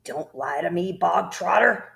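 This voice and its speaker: A woman voicing a tyrannical headmistress who barks insults and shouts at a pupil in a storybook reading. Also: sits low, sing-song, takes it slowly, gravelly, heavily acted. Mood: furious. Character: bellowing tyrannical female headmistress, bullying.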